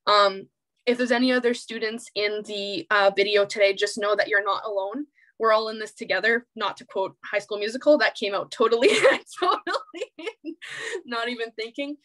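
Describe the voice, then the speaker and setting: A woman's voice: medium pitch, normal speed, fairly bright tone. A young woman student with a Canadian accent speaking out emotionally and emphatically at an online public meeting over a video call. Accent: Canadian English. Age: young adult. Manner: emotional, emphatic, repeats and restarts words. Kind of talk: impassioned public meeting speech.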